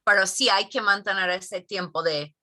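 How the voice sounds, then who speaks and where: A woman's voice: medium pitch, normal speed, pushed and bright. A middle-aged woman, a Spanish teacher, giving thoughtful interview answers with many fillers and tag questions.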